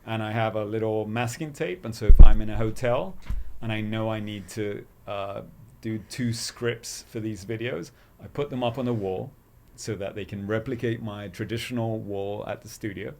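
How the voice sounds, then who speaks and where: Chilean-born guy raised in london, lowish voice, chatting as interview guest. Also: moderate pace, mellow, slight background noise. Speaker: guest, artist and designer. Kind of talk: conversational interview.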